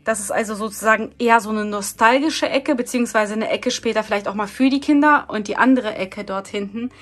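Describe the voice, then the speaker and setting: A woman narrating a home vlog in a casual, chatty way, speaking directly and informally to her viewers. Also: medium pitch. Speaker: home and cleaning vlogger.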